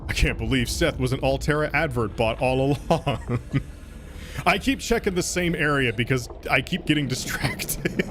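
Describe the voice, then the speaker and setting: A man's voice: medium pitch, animated, normal speed, background noise. Rambling easygoing male streamer. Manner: rambling, restarts and fillers, laughs often. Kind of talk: casual gaming stream chat, reading donations.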